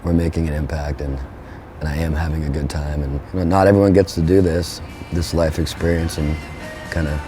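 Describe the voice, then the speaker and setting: Laid-back young man, very low voice. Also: level tone, fairly quick, music in the background. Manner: laid-back, rambling, with frequent fillers. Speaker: band frontman. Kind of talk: relaxed interview talk.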